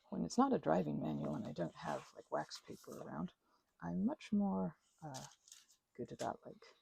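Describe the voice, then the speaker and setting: A woman's voice: deep voice, vocal fry, dark tone, husky, no echo. A woman chatting casually to viewers in a rambling, effusive way, often repeating words for emphasis. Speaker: crafting vlogger and ASMR creator.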